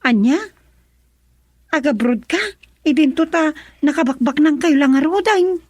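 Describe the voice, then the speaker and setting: A woman's voice: high-pitched, a little slow, a little mellow, no echo. A woman acting a hard-up, apologetic farmer's wife and mother in an Ilocano radio drama, plaintive and hesitant. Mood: surprised, curious.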